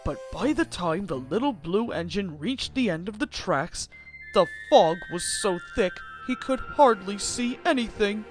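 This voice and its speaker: Female storybook narrator, lively and expressive intonation, music bed under the read. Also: mid-range voice, measured pace. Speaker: storybook reader.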